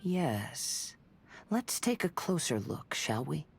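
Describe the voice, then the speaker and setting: A man voicing a calm, courteous young samurai in a video game, soft-spoken, measured and earnest. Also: on the high side, creaky, a little hiss or hum, full character voice. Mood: calm, reflective. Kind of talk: scripted video game voice acting. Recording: music behind the voice.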